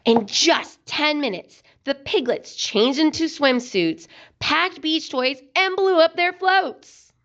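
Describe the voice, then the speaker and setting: Slow, very animated woman reading a storybook. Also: sits high, highs cut off. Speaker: children's book reader. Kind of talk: expressive read-aloud storytelling.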